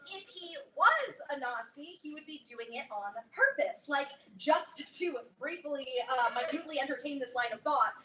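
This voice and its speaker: Recorded from far off in an echoey room, a woman acts out a self-important teenage girl who runs her club like a tiny empire. Her delivery is theatrical and pompous, swinging widely in pitch for a live staged reading. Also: sing-song, normal speed, few pauses, sharp tone, heavily acted. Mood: defensive, earnest. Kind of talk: staged play reading before an audience. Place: live reading in a roomy indoor space. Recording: distant echoey room, clear background noise.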